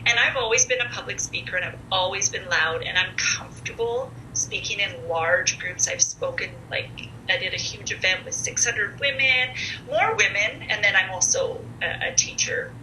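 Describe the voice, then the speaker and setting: Loud, bright-voiced woman in her forties. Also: medium pitch, moderate pace. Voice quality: loud, comfortable speaking voice. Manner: chatty and candid, with frequent fillers. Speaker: podcast guest. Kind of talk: casual conversational storytelling. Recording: echoey room with faint noise.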